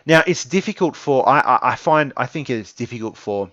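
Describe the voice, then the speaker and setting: A man's voice: medium pitch, expressive, normal speed, fairly bright tone, no room sound, lo-fi sound. An Australian man of twenty-nine giving an informal opinion monologue, earnest and emphatic, repeating phrases for stress. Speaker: esports content creator giving an opinion piece.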